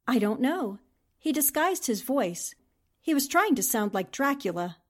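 An actress playing a confident, skeptical woman newspaper reporter in a 1940s-style radio drama, brisk and matter-of-fact. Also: high-pitched, sing-song, exaggerated voice.

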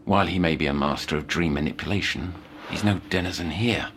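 Low-voiced, brooding scholarly man acted. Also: quick pace, a bit bright, a little hiss or hum. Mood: brooding, determined. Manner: formal, brooding, articulate. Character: obsessive, scholarly male therapist-researcher keeping session notes. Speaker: actor. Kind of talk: dramatic monologue, audio journal.

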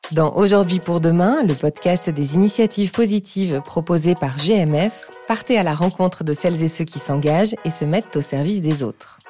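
A woman hosting a podcast, reading a scripted introduction and asking calm, even interview questions. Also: on the low side, moderate pace, few pauses, soft background music. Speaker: podcast host.

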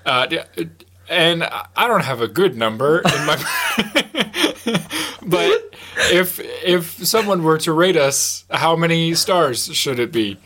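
A man's voice: on the high side, big pitch swings, takes it slowly, bright, forceful tone. A man hosting a casual podcast, a bit sniffly, rambling with fillers and laughing often. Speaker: podcast host. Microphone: headset mic.

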